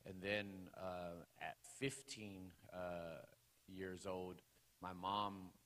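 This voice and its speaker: A man in his forties, from the Los Angeles area, preaching his testimony to a congregation in a church sanctuary, talking loosely with many fillers and rising into loud preaching bursts. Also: sits low, level tone, drawn-out words. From Los Angeles area, California. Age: 40s. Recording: long hall reverb.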